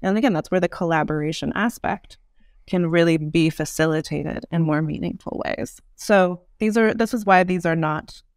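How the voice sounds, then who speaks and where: A woman speaks as an interview guest in an articulate, conversational way. Her voice has a noticeable creak, and it is captured dry and close on a podcast microphone. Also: lowish voice, moderate pace, no room sound. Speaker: guest, urban design expert. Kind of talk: explanatory podcast interview answers.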